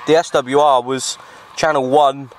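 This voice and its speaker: A man talks casually to his handheld camera out in the open air. His voice sits at an average male pitch, and his delivery is informal and unscripted, with halting phrases. A faint layer of outdoor noise runs under the recording. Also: fairly even intonation. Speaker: vlogger, CB radio hobbyist. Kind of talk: casual outdoor vlog narration. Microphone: handheld camera microphone.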